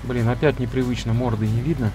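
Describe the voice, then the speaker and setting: A hoarse man talking casually to viewers as he plays a truck driving game, thinking aloud as he goes. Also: mid-range voice, steady tone, fast, over music, rough recording. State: hoarse, off voice today. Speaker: gameplay video creator. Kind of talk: casual let's-play commentary.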